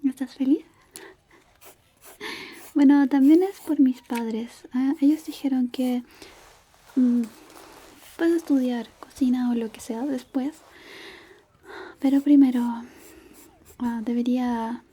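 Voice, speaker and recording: Woman, high soft voice, very mellow, shy caring schoolgirl classmate roleplay, intimate ASMR. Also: fairly even intonation, slow, long pauses. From Chile. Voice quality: soft, breathy, half-whispered. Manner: gentle, hesitant, intimate.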